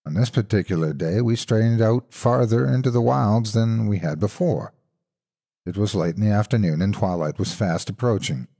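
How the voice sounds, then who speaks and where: A man narrating a scripted horror story in a husky voice, with suspenseful, expressive delivery. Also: on the low side, moderate pace.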